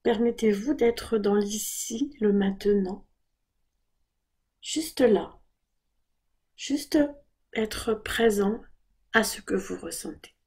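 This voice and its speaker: Female meditation guide, mellow and breathy, very measured pace, calm and gentle instruction. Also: mid-range voice. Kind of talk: guided body scan meditation.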